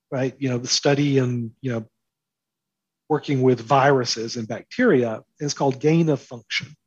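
A man's voice: medium pitch, husky, no echo, highs cut off. A man speaking as an interview guest, explaining his ideas emphatically and repeating phrases for stress. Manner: emphatic, with deliberate repetition for stress. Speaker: interview guest, author and commentator.

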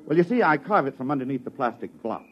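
Muffled, animated, boastful American artist played by a man. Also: medium pitch, fast talker, natural voice. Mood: proud, eager. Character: boastful, prosperous male sculptor, slick and self-important. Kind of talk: 1950s radio drama dialogue. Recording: muffled old radio, faint noise.

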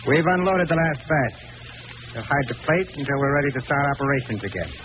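An actor playing a scheming, murderous gangster boss with tough, taunting talk in an old radio crime drama. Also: male voice, mid-range voice, lively intonation, a little fast, natural voice. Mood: sly, commanding. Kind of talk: 1940s radio acting. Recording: noisy old radio recording, muffled, hissy.

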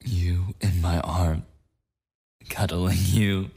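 A man's voice: deep voice, flat delivery, vocal fry, husky, heavily acted. A man playing a clingy, possessive yandere boyfriend in an intimate ASMR roleplay, tender and rambling, slipping toward whispers. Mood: possessive, determined. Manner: halting, rambling, soft breaks into whispering.

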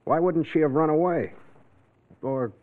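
A man's voice: on the high side, unhurried, natural voice. Casting: male actor for a cool young reporter, wry, vintage crime radio, muffled narrow-band recording. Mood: firm, accusing. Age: 20s. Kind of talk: classic radio drama acting.

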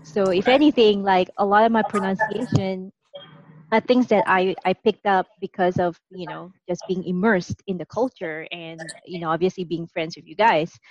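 A woman's voice: mid-range voice, fairly even intonation, normal speed, no echo, highs cut off. Woman with a faint Singaporean accent. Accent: light Singaporean under an American-leaning accent. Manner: chatty, full of "like" fillers and restarts. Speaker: podcast co-host. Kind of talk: casual podcast chat.

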